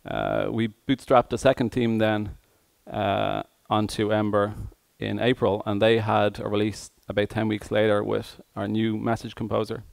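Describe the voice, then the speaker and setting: Male tech conference speaker, very monotone, creaky voice, halting phrasing, dry close sound. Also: on the low side, dry recording. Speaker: conference speaker, software engineer.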